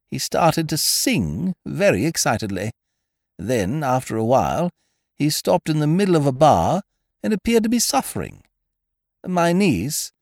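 A man's voice: a little high, gravelly, darkish tone, no room sound, clean studio sound, pitched above the performer's own voice, full character voice. A man voicing a fussy elderly aristocratic lady in a storybook, speaking primly and fretfully. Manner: prim, fretful, genteel.

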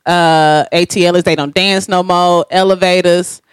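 A woman's voice: on the low side, fairly even intonation, normal speed, clear, resonant tone, dry recording. A woman co-hosting a podcast in casual banter, brash and full of profanity. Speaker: podcast co-host. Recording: music playing behind the voice. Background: music.

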